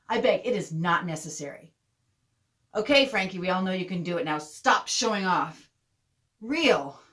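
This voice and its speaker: Woman acting brash, low-voiced streetwise teenage girl. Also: close to an everyday voice. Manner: slangy, brash, gossipy. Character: streetwise, blunt, scornful fifteen-year-old girl. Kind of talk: dramatic monologue performance.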